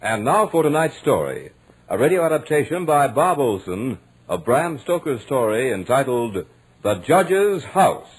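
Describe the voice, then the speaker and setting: A man announcing a 1940s radio show in a grand, dramatic, deliberate scripted style. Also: mid-range voice, very animated, a little hiss or hum, rough recording.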